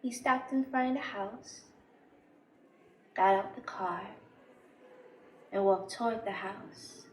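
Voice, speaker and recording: A woman playing an embittered, unhinged young woman jealous of her sister, telling her story slowly and swinging into bitter outbursts in a dramatic stage monologue. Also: sits high, fairly bright tone, a little hiss or hum. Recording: roomy echo, distant microphone.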